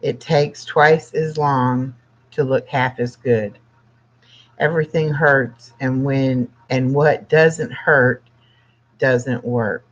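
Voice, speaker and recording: A livestream host of sixty with a medium-pitched voice speaks slowly and plainly, pausing often between short phrases. The delivery is casual, moving between reading aloud and chatting to viewers. The sound is slightly dull and lo-fi. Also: fairly even intonation.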